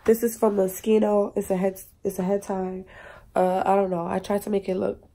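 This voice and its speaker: A woman's voice: medium pitch, normal speed. Chatty young woman, stuffy cold voice. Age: young adult. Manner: chatty and animated, slangy. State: congested, recovering from a cold. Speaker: vlogger. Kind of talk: casual vlog talk to camera. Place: small room indoors. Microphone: phone camera at arm's length.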